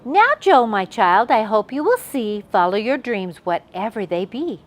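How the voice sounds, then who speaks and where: A woman's voice: fairly high pitch, big pitch swings, measured pace. Woman as tender storybook chickapig mother.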